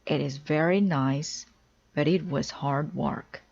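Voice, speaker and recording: A kind, agreeable frog from a children's storybook, read by a woman. He talks very slowly, politely and helpfully, in a slightly muffled recording. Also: low voice, gravelly, a little mellow, full character voice. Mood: gentle. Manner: encouraging, polite.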